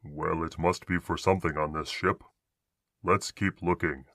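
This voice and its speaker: An actor playing a protective, practical space adventurer in a tabletop roleplay, terse and steady. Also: male voice, deep voice, unhurried, no echo, studio quality, full character voice. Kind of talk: ASMR audio roleplay acting.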